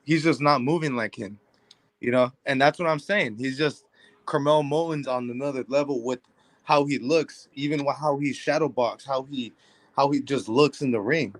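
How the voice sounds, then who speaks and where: Male podcast co-host, mid pitch, relaxed rambling talker, dry sound. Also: normal speed, no room sound. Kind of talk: casual chat.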